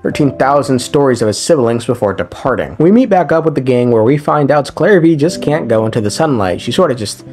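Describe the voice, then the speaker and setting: Young man, animated intonation, casual story recap, irreverent slangy commentary, soft guitar music behind. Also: mid-range voice, fairly quick, few pauses. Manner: wry, irreverent, slangy asides. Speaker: game lore video narrator. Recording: music under the voice.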